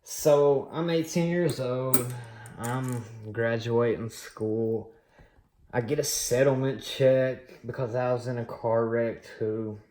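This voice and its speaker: Slow-talking man in an echoey room. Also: medium pitch. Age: late 30s. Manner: rambling, relaxed, many fillers and pauses. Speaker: coin collecting channel host. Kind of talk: casual personal storytelling while unboxing.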